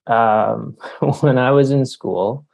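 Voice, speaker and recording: A man in his forties giving casual, halting, self-deprecating answers as an author being interviewed. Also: mid-range voice, creaky, darkish tone. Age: 40s. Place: remote call.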